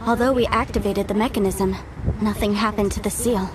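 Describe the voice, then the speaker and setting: A woman's voice: medium pitch, steady tone, fairly quick, noisy background, close to an everyday voice. An actress playing an earnest young woman researcher explaining ruins in game dialogue. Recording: game audio with music underneath.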